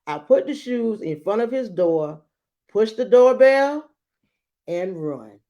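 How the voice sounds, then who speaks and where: A woman reading a storybook aloud like a teacher, adding expressive explanations and checking in with her listeners. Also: a little high, slow pace, lo-fi sound. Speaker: children's book read-aloud teacher.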